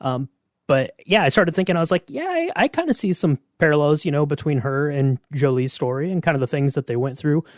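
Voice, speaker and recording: Higher-pitched man, casual podcast host. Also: expressive, fairly quick, no room sound, studio quality.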